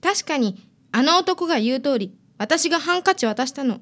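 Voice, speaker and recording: A woman's voice: high-pitched, expressive, moderate pace, a bit bright. A woman playing a stubborn, wounded teenage daughter in a radio drama. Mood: apologetic.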